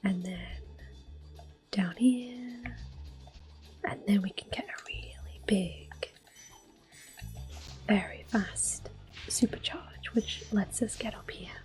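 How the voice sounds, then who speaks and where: Slow, whispering ASMR woman gamer. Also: medium pitch, soft background music. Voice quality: soft-spoken, breathy half-whisper. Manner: gentle, unhurried narration of each move. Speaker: game streamer, host. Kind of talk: ASMR gameplay commentary.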